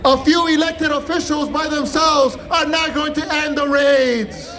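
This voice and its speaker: Male rally orator, very high raised voice, slow emphatic delivery, impassioned activist pitch. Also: steady tone. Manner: impassioned, declamatory, emphatic pauses. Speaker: protest speaker, activist. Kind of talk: rally speech. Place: outdoor street protest. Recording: loud rally PA with crowd and music. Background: crowd and music.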